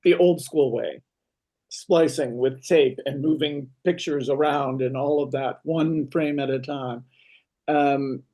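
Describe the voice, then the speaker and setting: A man with an average-pitched voice leads an interview. He talks in a casual, conversational style, as an interviewer chatting with a guest. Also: fairly even intonation, takes it slowly, warm tone, rough recording.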